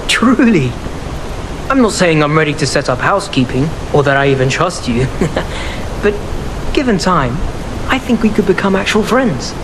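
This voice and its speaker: An actress playing a guarded, gruff woman in a video game, blunt and wry in her delivery. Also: low voice, sing-song, moderate pace, background noise. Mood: grudgingly thankful.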